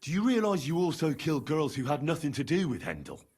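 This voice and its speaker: An actor playing a stern, earnest young investigator in a video game drama, questioning in a probing, exasperated way. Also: male voice, on the high side, very animated, fast, darkish tone, breathy edge. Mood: incredulous.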